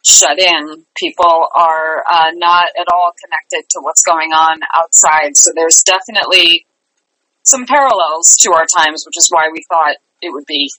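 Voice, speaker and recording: A woman's voice: deep voice, measured pace, sharp tone, dry recording, poor audio. A woman talking conversationally as an interview guest, an enthusiastic theatre director.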